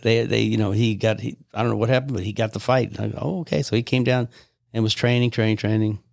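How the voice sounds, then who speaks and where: Older man, podcast guest; gravelly, dry close sound, easygoing storyteller. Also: on the low side, fairly even intonation, quick pace, a little mellow, dry recording. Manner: rambling storyteller, reminiscing. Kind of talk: casual reminiscing chat.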